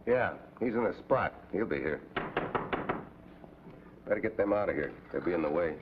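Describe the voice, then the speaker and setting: An actor playing a tough, hard-nosed American special agent in a 1950s TV drama, with a clipped, commanding, dry manner. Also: male voice, deep voice, expressive, moderate pace, noisy background. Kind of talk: 1950s television drama acting. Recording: tinny old TV soundtrack, loud music.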